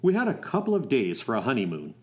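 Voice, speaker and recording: A man's voice: mid-range voice, huge pitch swings, fast talker. A man acting as a young driving instructor and soldier, telling his love story in a wry, folksy way for a radio play. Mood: wry, nostalgic. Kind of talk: first-person radio storytelling with acted dialogue.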